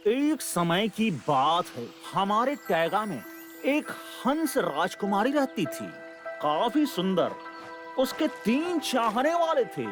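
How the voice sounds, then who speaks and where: A man voicing a sentimental, theatrical cartoon animal storyteller with a romantic heart and a drawn-out delivery. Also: sits high, sing-song, over music, exaggerated voice. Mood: storytelling.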